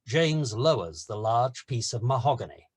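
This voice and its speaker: A man's voice: mid-range voice, animated, normal speed, breathy edge. A man narrating stage directions in a scripted screenplay table read.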